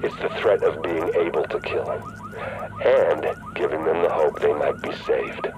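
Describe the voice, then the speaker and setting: A man's voice: extremely low pitch, huge pitch swings, measured pace, dull audio, full character voice. A man playing a cynical, power-hungry rogue agent in a video game, persuasive and coolly self-assured, with noise behind him. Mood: calculating, confident. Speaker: rogue agent and faction leader. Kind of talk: cinematic video game acting.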